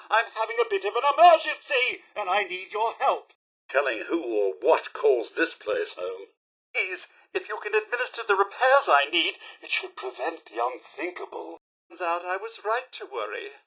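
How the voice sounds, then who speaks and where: A man's voice: high voice, sharp tone, husky, heavily acted. A man playing an anxious, polite robot butler in a video game, with a British accent, a robotic effect and a fussy, melodramatic, formal manner. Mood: frantic panic. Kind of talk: video game character voice lines.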